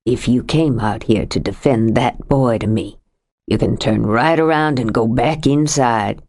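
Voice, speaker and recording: Woman reading as a stubborn widower full of grief, lowered voice, slow heavy delivery. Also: a little mellow, exaggerated voice. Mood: grieving. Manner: low, heavy and bitter. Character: grieving stubborn middle-aged widower, rough-edged. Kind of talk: audiobook character reading.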